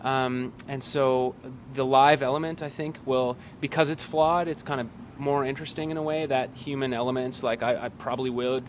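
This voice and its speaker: A man giving a casual interview as a guest, speaking in a hesitant, halting way with wry asides, outdoors in an alley. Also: mid-range voice, flat delivery. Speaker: guest, actor and playwright. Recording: street noise, traffic outdoors.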